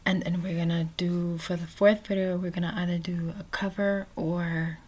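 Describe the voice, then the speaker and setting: A woman's voice: lowish voice, little pitch movement, moderate pace, a little mellow. A woman hosting a reaction video with casual, colloquial commentary, talking back to what she watches.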